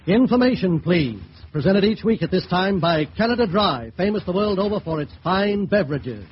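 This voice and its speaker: A man's voice: high voice, expressive, normal speed. A man announcing a vintage radio program in a polished, declamatory sales style. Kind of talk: 1930s-40s radio announcing. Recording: noisy, dull vintage radio recording.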